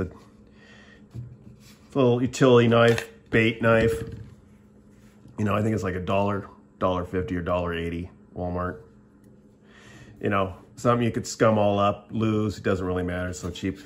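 A man's voice: fairly low pitch, normal speed, long pauses, a little hiss or hum. A middle-aged man giving a casual, rambling show-and-tell review at a table, plainspoken and often restarting his sentences. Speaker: product reviewer. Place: indoors at a table, filming the tabletop.

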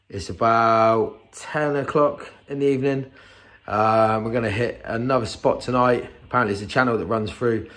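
A man in his thirties talking casually about a fishing trip, upbeat and quick to cheer when a fish comes in, recorded on a camera mic. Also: medium pitch, dry recording. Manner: upbeat, excitable when fish are caught. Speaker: fishing vlogger. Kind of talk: casual vlog narration. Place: outdoors on rocky coast and indoors in a rented room.

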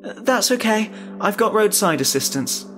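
A man's voice: sits high, animated, unhurried, music in the background, voice pushed up. A man voicing a nervous, polite, hesitant woman in a horror audio story. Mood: wary. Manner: hesitant, flustered. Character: nervous, polite, wary woman cornered on roadside.

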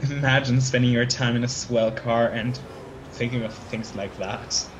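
An actor playing a folksy, easily spooked young hitchhiker in an old-fashioned radio play, slangy and colloquial. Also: male voice, medium pitch, animated, slow pace, background noise. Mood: easygoing, chatty.

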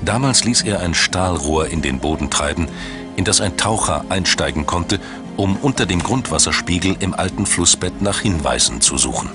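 Very deep-voiced male documentary narrator. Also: expressive, moderate pace. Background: background music.

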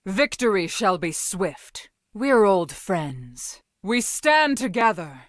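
A woman's voice: mid-range voice, very animated, slow pace, no echo, clean studio sound. A woman playing a proud, stern warrior commander of wind and storms in a video game, with imperious, clipped, theatrical delivery. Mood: cold, resolute. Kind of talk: video game character voice lines.

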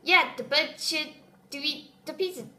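A woman voicing an eager, pizza-loving girl in a kids' cartoon who is quick to blame. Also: high voice, bright, forceful tone. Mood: defensive.

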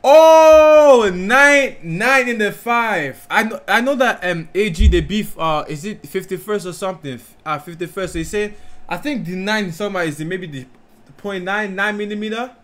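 A man's voice: sits high, moderate pace. A young adult man hosting a reaction video, animatedly exclaiming and puzzling aloud over rap lyrics, recorded in a small bedroom. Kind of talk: excited reaction commentary. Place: small bedroom lit pink and red, indoors. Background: faint rap track playing.

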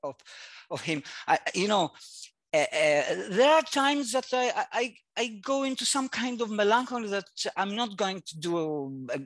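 A man's voice: sits high, sing-song, a bit bright, no room sound. Greek guy in his 40s on a headset video call, lots of uh and restarts, dry close sound. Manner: halting, searching for words with many fillers and restarts. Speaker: guest artist. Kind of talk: casual interview conversation. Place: room at home.

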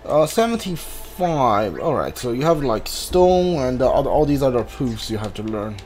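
A man's voice: mid-range voice, sing-song, normal speed, darkish tone, a little hiss or hum. A man streaming a video game with casual commentary, thinking aloud in fragments and talking to the characters. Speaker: video game streamer playing a blind playthrough.

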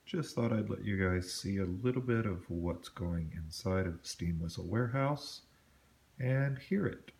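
A man with a lowish voice talks through his workbench project in an easygoing, explanatory way. He pauses now and then with a small "uh" or "um". It sounds like an off-camera camera mic at a home hobby bench. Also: gravelly, slight background noise. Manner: relaxed, explanatory, with small fillers. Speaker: model railroad hobbyist vlogger. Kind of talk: casual show-and-tell narration.

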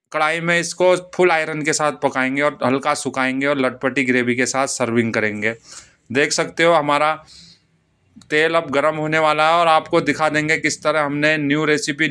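Bright, clear-voiced man hosting a cooking vlog. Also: fairly high pitch. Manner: eager salesy repetition, keeps urging viewers to watch. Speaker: cooking channel host.

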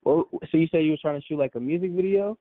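A man's voice: on the high side, fast talker, no echo. An American man talking casually and in slang over a phone line, as a videographer discussing a job. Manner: slangy, informal. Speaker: music video videographer on a call. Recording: tinny narrowband phone line.

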